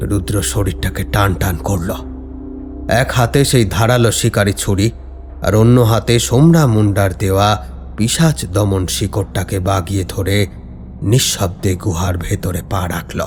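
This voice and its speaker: A man narrating a scripted horror story in a dramatic, suspenseful, deliberate way. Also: lowish voice, over music.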